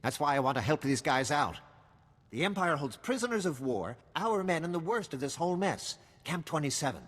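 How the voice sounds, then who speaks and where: A man's voice: a little high, big pitch swings, brisk, big-room sound. A man voicing a weary, wry intelligence officer in a video game, briefing in a matter-of-fact, conspiratorial way. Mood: grave, urgent.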